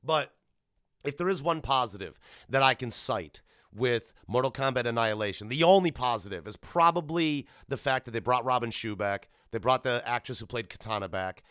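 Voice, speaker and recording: Male podcast host, bright and punchy tone, dry sound. Casual, opinionated commentary, animated and sweary. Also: medium pitch, clean tone, no room sound. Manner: animated, blunt, swears freely.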